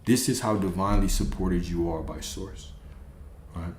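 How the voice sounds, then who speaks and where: A man's voice: low voice, normal speed, reverberant, a little hiss or hum. A man hosting a live stream, giving an emphatic spiritual pep talk and repeating his key phrases.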